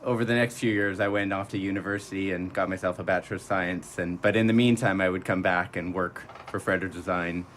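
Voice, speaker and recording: Guy with a lowish voice chatting as radio guest, telling stories, bit of background noise. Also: steady tone, fast, hardly any pauses, a bit bright. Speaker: landscaper, interview guest. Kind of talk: casual anecdotal interview talk.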